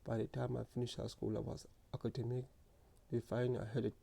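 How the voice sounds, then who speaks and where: A man telling his own story in a slow, earnest, reflective way, stuttering often with repeated words and restarts. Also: mid-range voice, flat delivery, vocal fry, mellow, husky. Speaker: speaker sharing lived experience. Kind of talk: personal reflective monologue.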